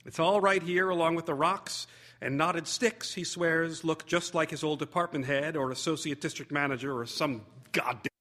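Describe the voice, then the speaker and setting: Man acting exasperated chain-smoking mother, raised pitch, bright forceful tone, sardonic, short story reading. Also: normal speed. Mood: exasperated, salty. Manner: sardonic, salty, dismissive.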